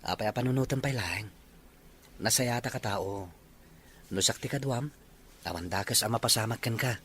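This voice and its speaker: A man's voice: mid-range voice, moderate pace, fairly bright tone, slightly rough. A man playing an earnest, polite young suitor in an audio drama. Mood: courting. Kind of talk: Ilocano audio drama acting.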